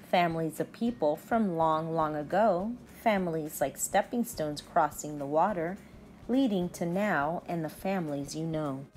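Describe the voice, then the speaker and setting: A woman's voice: lowish voice, a little mellow. A woman in her thirties, a teacher reading aloud and talking to young pupils in simple, instructive phrasing. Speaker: early-grade teacher. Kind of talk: children's read-aloud and lesson talk to pupils.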